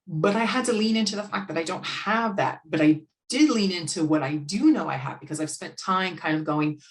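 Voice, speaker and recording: Low-voiced outgoing American woman. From United States. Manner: outgoing, speaks in quick bursts with restarts. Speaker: guest expert. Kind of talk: casual business chat.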